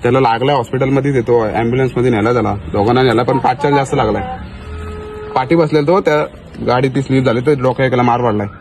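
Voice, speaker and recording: A man's voice: medium pitch, fairly even intonation, quick pace, crowd noise. A young man with a Maharashtrian accent making prank calls in a casual, conversational style. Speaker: prank caller in a vlog. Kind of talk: casual phone chat.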